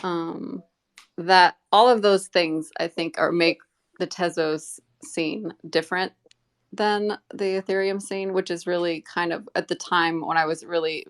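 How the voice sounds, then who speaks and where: An American woman chatting casually as an interview guest, rambling and halting as she rephrases mid-sentence. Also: lowish voice, fairly even intonation. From United States. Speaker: interview guest, community member. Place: live online audio group chat.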